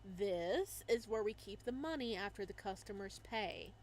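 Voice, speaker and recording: A woman voicing a reserved young male florist who answers in a skeptical, deadpan way. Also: mid-range voice, a little slow.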